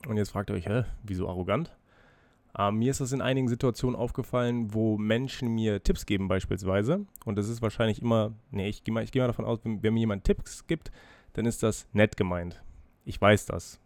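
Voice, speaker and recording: Ein erkälteter Mann spricht frei und nachdenklich in seinem Podcast, reflektiert über sich selbst, mit Zögern und Füllwörtern. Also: male voice, on the low side, a little fast, a little mellow, no echo. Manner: freely spoken, musing, self-reflective with hesitations. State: has a cold, breathing heavily. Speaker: podcast host. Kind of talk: casual solo podcast monologue.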